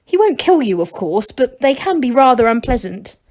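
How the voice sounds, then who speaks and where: A woman's voice: on the high side, very animated, normal speed, a little mellow, voice pushed up. A woman voicing a knowing, sheepish old male gnome guide in a storybook. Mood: apologetic.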